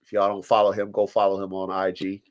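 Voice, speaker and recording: A man's voice: deep voice, fast talker, muffled recording. A man with allergies and a stuffed-up voice, hosting a live stream podcast and talking casually about dogs, with plenty of swearing and acted-out quotes. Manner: animated, profane, acts out dogs and owners in quoted voices. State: congested from allergies. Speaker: podcast host. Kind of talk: casual chat. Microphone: live stream mic.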